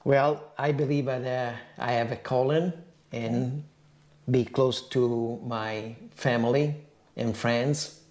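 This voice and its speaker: Soft-spoken older man. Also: mid-range voice, steady tone. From Sicily. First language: Sicilian. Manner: brief, quiet answers, halting. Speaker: co-host. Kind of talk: casual Q&A chat.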